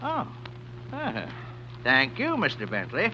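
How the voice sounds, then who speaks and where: A man's voice: fairly high pitch, huge pitch swings, takes it slowly, dull audio, exaggerated voice. An actor playing a pushy male pet shop owner in a 1940s radio drama, wheedling and insistent. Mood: sly. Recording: old radio recording with faint hiss.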